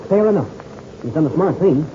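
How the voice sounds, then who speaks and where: A man playing a steady, fatherly veteran pilot in a 1930s radio serial, folksy in manner, on an old radio recording. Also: a little high, fairly quick, dull audio, slight background noise, lightly acted. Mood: assessing.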